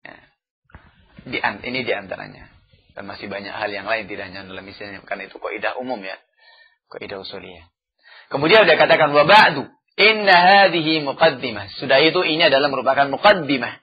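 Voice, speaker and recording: A man teaching a religious lesson, chanting verses slowly and then explaining them with frequent "ya" fillers. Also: mid-range voice, highs cut off. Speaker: Islamic teacher. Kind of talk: religious study lecture.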